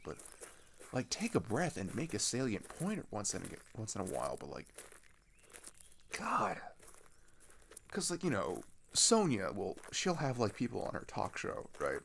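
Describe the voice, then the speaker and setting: A man's voice: lowish voice, wildly swooping pitch, moderate pace, creaky, slight background noise, heavily acted. A young man playing an earnest, frustrated teenage boy who rambles with hesitant restarts in a casual audio roleplay. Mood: frustrated, venting. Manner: rambling, exasperated, hesitant restarts and filler words. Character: earnest, frustrated teenage male migrant venting about politics.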